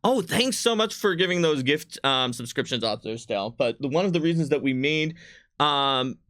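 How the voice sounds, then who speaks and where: A man's voice: a little high, expressive, normal speed, fairly bright tone, no echo, clean studio sound. A man co-hosting a casual livestream as a game designer, explaining things conversationally to viewers. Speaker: game designer and stream co-host.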